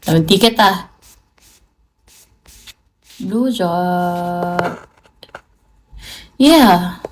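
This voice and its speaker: A woman's voice: fairly low pitch, drawn-out words, slight background noise. A Filipino woman chatting casually and playfully on a livestream, mixing in English. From Philippines. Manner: playful, chatty, mixes English into her talk. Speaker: livestream host.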